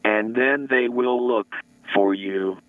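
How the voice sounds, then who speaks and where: A man playing an ominous narrator of an analog horror warning tape, measured in manner, through a lo-fi radio filter. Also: medium pitch, clean tone, dry recording. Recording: tinny, narrow-band analog tape playback.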